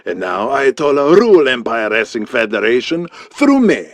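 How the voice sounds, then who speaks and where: An actor playing a boastful, arrogant villain wrestler who speaks in broken English in an audio drama. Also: male voice, mid-range voice, very animated, moderate pace, no echo. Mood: ranting boastful.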